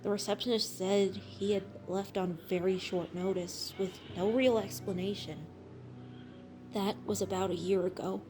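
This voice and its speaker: A woman reading as the shaken narrator of a horror tale, grave and measured. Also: mid-range voice, darkish tone, lightly acted. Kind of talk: dramatic horror story reading.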